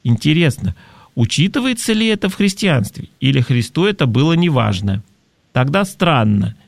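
Man hosting a talk, fairly high voice. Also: very animated, moderate pace, gravelly, dry recording. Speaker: talk show host. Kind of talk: conversational advice talk, reading listener messages.

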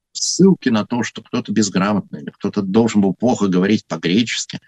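A man with a husky voice giving an unscripted talk on church history, thoughtful and full of hesitations. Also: fairly low pitch, a little mellow, no room sound.

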